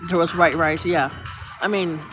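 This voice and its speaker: A middle-aged woman with a Filipino accent, chatting outdoors on a phone during a live stream. She rambles through long stories and thanks viewers by name. Her audio sounds a little dull and muffled, with music playing clearly underneath. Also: on the low side, fairly even intonation, moderate pace. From Philippines. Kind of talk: casual live-stream chat. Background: background music.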